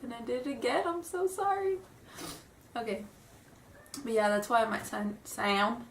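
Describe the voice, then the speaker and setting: A woman's voice: fairly high pitch, very animated, normal speed, a little hiss or hum. Young woman, stuffy from a cold, nervous giggly rambling, roomy far-off home camera sound. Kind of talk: casual vlog chat. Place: room at home.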